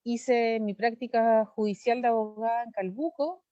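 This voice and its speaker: A woman's voice: a little high, steady tone, normal speed, warm tone, no room sound, dull audio. A Chilean woman in her thirties, a lawyer and candidate, giving long, earnest answers in an interview over a video call. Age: 30s. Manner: earnest, fluent, gives long detailed answers.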